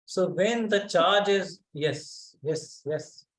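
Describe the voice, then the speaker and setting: A man teaching a physics class online, speaking haltingly and calling on students by name to check that they follow. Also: high-pitched, low-quality recording.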